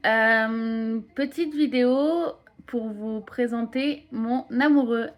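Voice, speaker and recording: A woman's voice: a little high, slow. A woman in her late twenties chatting casually to her viewers as a vlogger. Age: 20s. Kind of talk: casual vlog chat.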